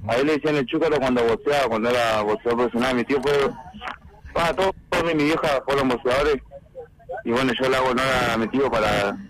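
A man's voice: medium pitch, fast talker, rough recording. A young man from Patagonia with an Argentine accent, chatting informally as a boxer in a radio interview. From Puerto Madryn, Chubut, Argentina. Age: young adult. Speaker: professional boxer, interview guest.

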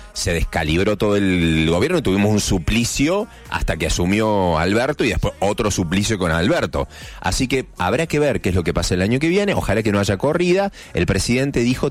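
Brief: Argentine male morning radio host, lowish voice, very animated delivery, light music underneath. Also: fairly quick. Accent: Argentine, Córdoba. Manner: chatty, jokey asides, playful mock voices. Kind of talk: casual morning radio chat.

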